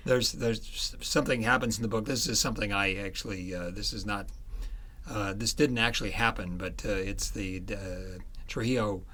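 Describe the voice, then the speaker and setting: A man speaking as an author in a conversational interview about his book, halting as he searches for words. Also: fairly low pitch, bright, forceful tone.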